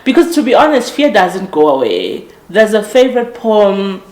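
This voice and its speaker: A woman's voice: medium pitch, big pitch swings, normal speed, a little mellow, roomy sound, a little hiss or hum. A woman in her late twenties hosting a podcast, talking earnestly and reflectively, often correcting herself mid-sentence. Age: 20s. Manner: earnest, reflective, with frequent restarts and self-corrections. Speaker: podcast host. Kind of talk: casual motivational monologue.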